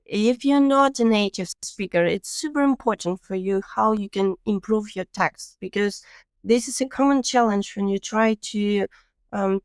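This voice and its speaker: A woman with a Ukrainian accent hosts a relaxed podcast conversation, walking listeners through practical tips in earnest, slightly non-native English. Her voice is smooth and clear, sitting mid-range for a woman. The sound is close and dry, with no room around it. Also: medium pitch, dry recording. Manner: earnest, explanatory, laughs easily. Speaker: podcast host. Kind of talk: casual podcast interview.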